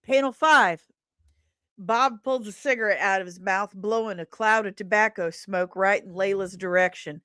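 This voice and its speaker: A woman's voice: medium pitch, normal speed, sharp tone, no echo, studio quality. A woman with a playful, theatrical manner, hosting a livestream and reading aloud from her comic script. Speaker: host and comic author.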